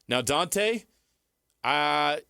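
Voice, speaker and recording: A man's voice: on the high side, animated, very slow, vocal fry, pushed and bright, clean tone, no echo, studio quality. An American man co-hosting a sports talk show, giving opinionated, blunt commentary in an informal, conversational way.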